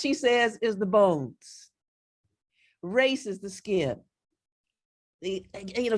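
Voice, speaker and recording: A woman giving a lecture as a guest speaker, earnest, with her voice cracking from overuse. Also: medium pitch. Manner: earnest, reads quotes aloud, frequent restarts. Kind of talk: lecture presentation.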